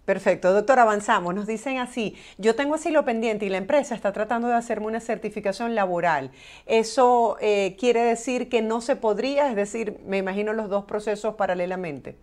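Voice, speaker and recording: A woman's voice: mid-range voice, brisk, a little mellow. A woman hosting a broadcast interview, reading questions aloud and moving the conversation along. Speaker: host reading viewer questions.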